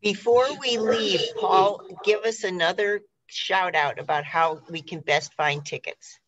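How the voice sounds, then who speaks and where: A woman's voice: on the low side, measured pace, a bit bright, dull audio. A woman hosting a radio talk show, explaining things in a casual, rambling way. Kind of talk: casual chat.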